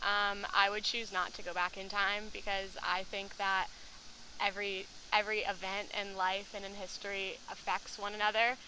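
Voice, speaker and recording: A young woman from Tampa answers a street interviewer casually. Her voice is mid-pitched, with vehicle noise clearly behind it. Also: little pitch movement, normal speed, sharp tone, rough recording. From Tampa, United States. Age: young adult. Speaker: interviewee, college student.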